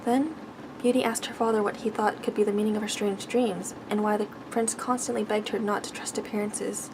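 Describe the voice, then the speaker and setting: A woman reads a bedtime story in a soft, gentle ASMR delivery. Her mid-pitched voice has a noticeable creak, and she takes her time, sometimes tripping on a word. Clear background noise sits behind her. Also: steady tone. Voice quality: soft-spoken. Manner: gentle, measured, stumbles over words while reading. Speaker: ASMR host reading aloud.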